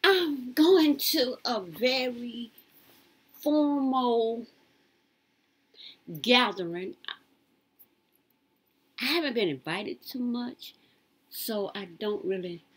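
A woman in her late fifties rambling through her life story in a casual, lively way. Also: breathy edge. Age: 50s. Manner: lively, swoops high when quoting others.

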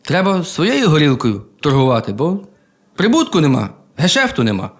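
A man telling a humorous folk tale in a colloquial, expressive, folksy manner. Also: on the high side, normal speed. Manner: colloquial, expressive, with chuckles and exclamations. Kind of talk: folksy humorous oral storytelling. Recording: music behind the voice.

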